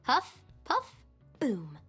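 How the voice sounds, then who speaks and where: A woman's voice: fairly high pitch, extremely slow, slightly rough, faint music behind, heavily acted. A woman playing a small, brash, reckless female yordle cannoneer who loves explosions, in video game voice lines. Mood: triumphant. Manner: brash, gung-ho, excitable.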